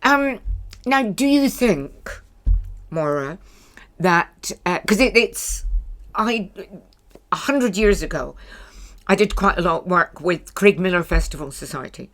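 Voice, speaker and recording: A woman's voice: fairly low pitch, animated, slow, long pauses. An older woman, hosting a chatty podcast interview in a blunt, wry manner. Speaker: podcast host. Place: small stage in a bar venue.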